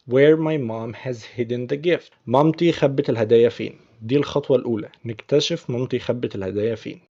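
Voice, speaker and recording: A man's voice: mid-range voice, dark tone, highs cut off. Patient Egyptian man teaching English. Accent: Egyptian Arabic. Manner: patient, repeats phrases slowly before explaining. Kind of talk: explaining film lines in a language lesson.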